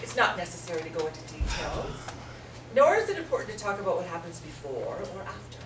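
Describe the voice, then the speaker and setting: A woman playing a vain, sharp-tongued drag queen, bossy and theatrical, in a stage drama. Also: lowish voice, sing-song, quick pace. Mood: sarcastic. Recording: echoey room, distant mic, noisy.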